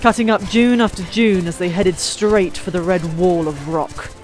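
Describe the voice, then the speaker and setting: A woman's voice: lowish voice, moderate pace, darkish tone. Woman narrating fantasy over music. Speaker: audiobook narrator. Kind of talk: dramatic scripted fantasy narration.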